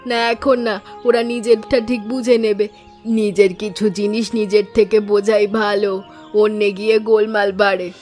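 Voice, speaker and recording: A woman voicing a caring, worried village aunt in an animated story, speaking tenderly and in a measured, homely way. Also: medium pitch, faint music behind, natural voice. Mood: gently advising.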